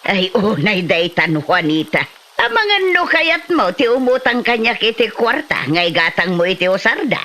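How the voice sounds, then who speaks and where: A woman plays a village moneylender in a comedy drama, speaking with an Ilocano Filipino accent. Her voice is bright and forceful and swings widely in pitch. She is exclamatory, neighbourly and proud of her cash. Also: very animated, normal speed.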